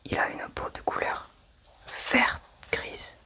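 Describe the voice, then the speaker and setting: The voice is a soft, unvoiced whisper from an ASMR roleplayer acting as a medical examiner. They move calmly through a procedure, describing each step methodically. Nothing rises to full speech. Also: slow pace. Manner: calm, methodical, step-by-step narrating.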